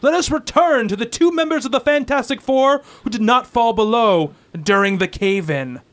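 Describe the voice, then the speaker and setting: High-pitched, dramatic male radio-play narrator. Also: lively intonation, moderate pace. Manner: dramatic, suspenseful. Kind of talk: melodramatic scripted comic-book narration.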